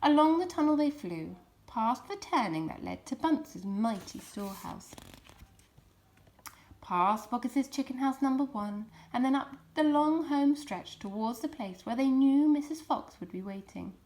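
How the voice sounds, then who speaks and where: A woman narrating a children's storybook in an expressive reading style. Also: sits high, measured pace. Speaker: storybook reader.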